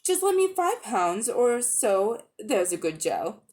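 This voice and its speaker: A foolish, vain old gentleman from a storybook, acted out by a woman reader. He speaks slowly and pompously, stretching his words and swinging up and down in pitch as he wheedles. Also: big pitch swings. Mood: wheedling. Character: vain, pompous old man, a foolish upper-class magician uncle. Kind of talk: dramatic audiobook reading.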